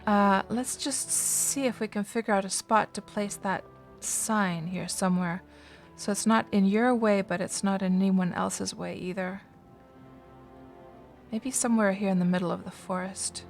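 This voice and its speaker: A woman's voice: medium pitch, steady tone, unhurried, creaky, soft background music. Chatty woman streaming a game. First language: Finnish. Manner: chatty, thinks aloud with many fillers and restarts. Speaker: game livestreamer. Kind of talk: casual livestream chat, answering viewers.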